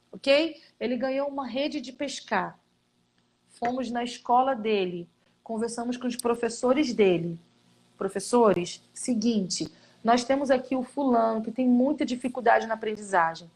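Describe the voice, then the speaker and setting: A Brazilian woman with a fairly high voice speaks earnestly about her missionary work. She tells her stories with animation and slips into quoted voices as she goes. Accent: Brazilian Portuguese. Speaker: missionary guest. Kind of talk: casual testimony in a live stream.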